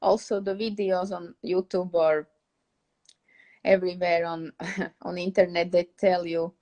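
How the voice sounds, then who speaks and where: A woman's voice: lowish voice, flat delivery, unhurried, a little mellow. A young woman from Slovakia with a Slovak accent, casually teaching English on a live stream, chatty and laughing often as she searches for words.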